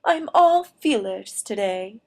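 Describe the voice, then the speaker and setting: A woman voicing a young female cockroach in a storybook, dainty, anxious and sharp-witted, with a coyly polite way of speaking. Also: mid-range voice, very animated, slow pace, vocal fry, full character voice. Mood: mock sweet.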